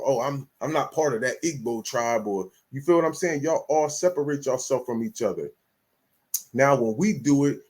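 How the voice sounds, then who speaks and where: A man's voice: mid-range voice, a little mellow, breathy edge. A man from the United States giving blunt, slangy reaction commentary, profane and emphatic.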